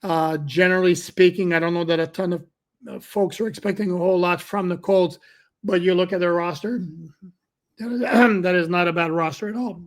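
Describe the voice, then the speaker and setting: A man's voice: high voice, fairly even intonation, normal speed. A middle-aged man hosting a solo sports podcast, talking conversationally with fillers and self-corrections, his voice cracking at times. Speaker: podcast host.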